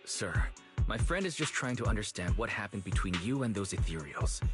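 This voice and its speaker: A man's voice: lowish voice, very animated, a little fast. A man voicing a level-headed, reassuring young adventurer in an anime video game, speaking in an earnest, conversational way. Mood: diplomatic. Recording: game audio under clear music.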